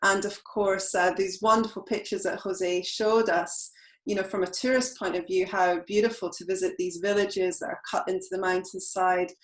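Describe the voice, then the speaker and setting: A woman's voice: medium pitch, flat delivery, moderate pace. A woman from the UK with a British accent, giving an informal, reflective presentation as a charity representative and explaining as she goes. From United Kingdom. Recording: roomy, echoing sound.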